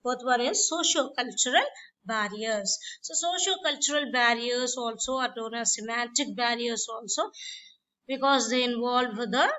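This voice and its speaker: A woman's voice: fairly high pitch, unhurried, pushed and bright, a little hiss or hum. A woman lecturer explaining points in a classroom lecture, repeating herself with fillers. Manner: repetitive explanatory delivery with fillers. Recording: dull lo-fi recording.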